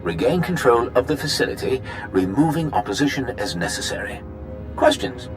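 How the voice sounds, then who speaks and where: An actor playing a smug, slick sci-fi corporate executive in a video game, with a pompous, glib, teasing manner. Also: male voice, medium pitch, big pitch swings, moderate pace, over music, natural voice. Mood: glib, businesslike. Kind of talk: video game cutscene acting.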